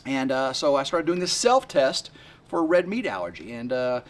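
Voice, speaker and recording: A man in his fifties rambling excitedly to camera outdoors by his work shed, full of fillers and restarts. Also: medium pitch, normal speed. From West Tennessee. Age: 50s. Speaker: homesteading vlogger. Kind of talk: rambling casual vlog to camera.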